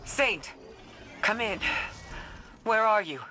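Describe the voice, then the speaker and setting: An actress playing a steady, commanding military leader in a video game, composed and authoritative. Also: female voice, medium pitch, slow pace. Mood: concerned. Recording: noisy game ambience behind the voice.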